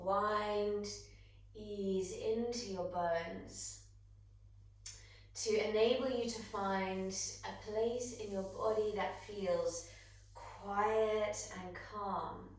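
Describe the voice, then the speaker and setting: A woman guiding breathwork and meditation as a yoga teacher, gentle and soothing, with long unhurried pauses. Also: mid-range voice. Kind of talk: guided meditation instruction. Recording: echoey room, distant microphone.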